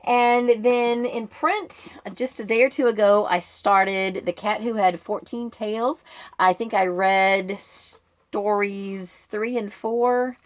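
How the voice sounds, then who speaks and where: Middle aged american lady just chatting to her viewers, kinda rambly and informal, normal pitched voice. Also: steady tone, takes it slowly. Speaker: book vlogger and book club member. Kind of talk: casual reading update, chatting to viewers.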